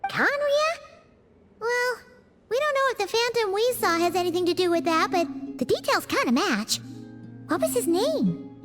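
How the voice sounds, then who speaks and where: A woman voicing a small, chatty, excitable female fairy companion in a game cutscene. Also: very high voice, big-room sound, soft background music, heavily acted. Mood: curious. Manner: chatty, blurts out reactions, quick to exclaim.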